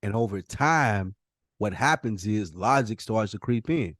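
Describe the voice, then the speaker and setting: A man's voice: fairly low pitch, lively intonation, measured pace, fairly bright tone, dry recording, studio quality. An American man co-hosting a podcast, telling things in a casual, direct and emphatic way. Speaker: podcast co-host. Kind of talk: casual chat and storytelling.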